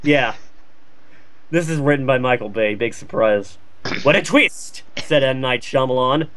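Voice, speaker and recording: A man's voice: medium pitch, unhurried, a bit bright, clean tone. A man reading fan fiction aloud for comedy, slangy and sweary, with casual jokey asides.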